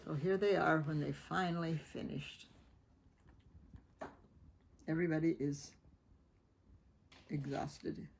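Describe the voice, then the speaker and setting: Female storybook reader, lowish and creaky, unhurried, expressive, chatting about the pictures. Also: a little hiss or hum. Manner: expressive, informal asides, acts out dialogue. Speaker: children's picture book reader. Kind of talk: read-aloud storytelling with asides about pictures.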